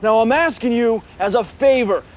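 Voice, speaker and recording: An actor playing a resentful young adult son, pleading in an aggrieved way in a naturalistic film drama. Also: male voice, extremely high pitch, moderate pace. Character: resentful adult son living in his father's shadow. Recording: film audio with faint background noise.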